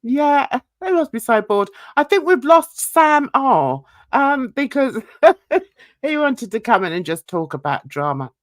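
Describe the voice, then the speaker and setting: A woman's voice: high voice, very animated, unhurried, no room sound. Chatty woman hosting a livestream, dry sound. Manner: chatty, rambling, frequent fillers. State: coughing and sneezing. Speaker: livestream host. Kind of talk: casual livestream chat.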